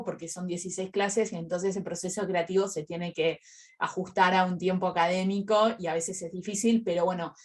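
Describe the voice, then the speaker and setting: A woman, a university teacher, presenting informally and moderating a conference session. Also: on the low side.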